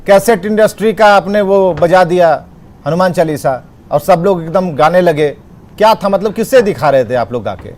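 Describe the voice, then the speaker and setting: A man's voice: sits high, lively intonation, normal speed, darkish tone. A male TV debate host. He moderates combatively, pressing guests with repeated, challenging questions.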